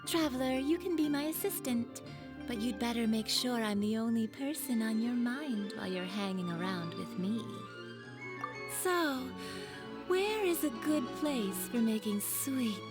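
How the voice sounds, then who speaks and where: A flirtatious perfume maker in a video game, her voice fairly high and coy. She teases with theatrical self-assurance over clearly audible music. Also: animated, measured pace.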